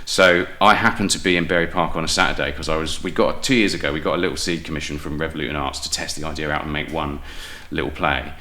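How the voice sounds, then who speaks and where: A man's voice: extremely low pitch, fairly even intonation, fast, hardly any pauses, pushed and bright. A man with a British accent talking casually and enthusiastically as an interview guest, often breaking off and restarting his phrases. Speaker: guest playwright and audio drama maker.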